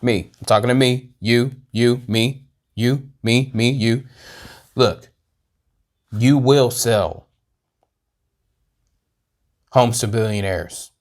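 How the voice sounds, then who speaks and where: Slow, halting man reacting casually. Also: mid-range voice. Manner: halting, repeats words, talks to himself. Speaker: host reacting to a video. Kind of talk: casual rambling reaction commentary.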